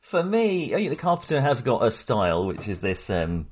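A man co-hosting a podcast, talking through a film casually in a halting, hesitant way. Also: medium pitch, very animated, breathy edge. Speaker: podcast co-host.

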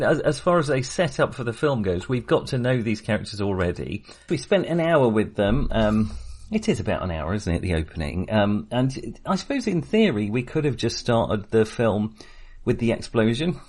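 A man co-hosting a film discussion, talking casually and haltingly with a wry manner. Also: medium pitch, expressive, darkish tone, breathy edge. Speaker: film podcast co-host.

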